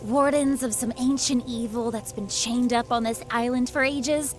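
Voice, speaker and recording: A woman's voice: a little high, steady tone, normal speed, faint music behind, close to an everyday voice. A woman playing a sardonic, playful rebel leader in a video game, with a breezy, teasing, theatrical manner. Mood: sarcastic, theatrical.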